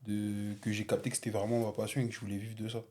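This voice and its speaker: A man's voice: lowish voice, little pitch movement, quick pace, warm tone. A young rapper in a casual chat with friends, teasing his friend with pointed questions. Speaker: rapper guest.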